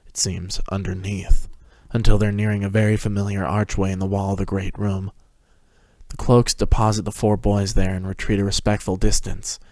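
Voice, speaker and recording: Low-voiced man reading a dramatic story. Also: darkish tone, no room sound. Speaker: narrator, fan fiction reader. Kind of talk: dramatic scripted story narration.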